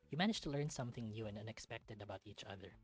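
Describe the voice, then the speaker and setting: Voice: medium pitch, darkish tone. A let's-play host reading a visual novel aloud, moving between storybook-like narration and casual commentary.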